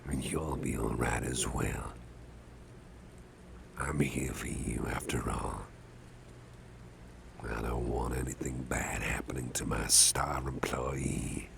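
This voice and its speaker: A man playing a big, gruff but caring boss in an ASMR roleplay, murmuring gently with a gravelly, often breathy voice and soft hesitations. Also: a bass voice, noisy background, exaggerated voice. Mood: reassuring. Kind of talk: ASMR personal-attention roleplay.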